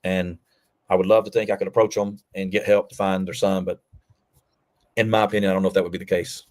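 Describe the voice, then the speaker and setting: Lowish-voiced man giving deliberate commentary. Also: level tone. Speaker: video commentator. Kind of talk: casual opinion commentary over video.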